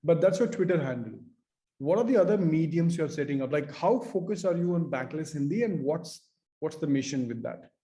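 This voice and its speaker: A man's voice: a little high, animated, a little fast, warm tone. A man from India hosting a casual podcast interview, asking long, rambling questions. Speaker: podcast host.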